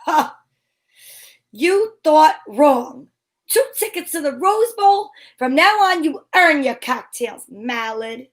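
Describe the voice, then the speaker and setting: A woman's voice: high voice, lively intonation, takes it slowly. A woman playing a feisty, wry heroine in an old-time radio show, with sassy, theatrical delivery. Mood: sassy, firm. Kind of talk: hobby old-time radio acting with first-person narration.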